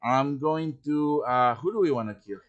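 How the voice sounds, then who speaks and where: Male livestream instructor, average pitch, informal and talkative, walks listeners through each step and keeps checking they follow. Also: moderate pace, dull audio. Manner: chatty, explains step by step with check-in tags. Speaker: host and instructor of a livestream tutorial. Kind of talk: informal live software tutorial.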